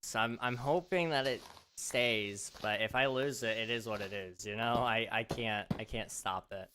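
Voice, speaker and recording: A man's voice: mid-range voice, normal speed, sharp tone, a little hiss or hum. A man hosting a casual gaming livestream, rambling and chatty with lots of fillers, his voice slightly strained as he starts to lose it. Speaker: livestream host.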